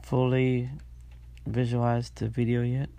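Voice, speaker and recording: Congested man with a gravelly, flat voice. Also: medium pitch. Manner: halting, many fillers and restarts. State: congested, stuffy nose. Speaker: podcast host. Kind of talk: casual solo diary podcast.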